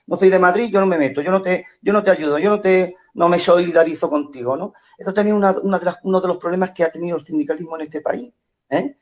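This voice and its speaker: Animated Spanish man telling a union story. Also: high voice. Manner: animated storytelling with repeated restarts. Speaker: union member caller. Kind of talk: casual call-in comment in an audio space.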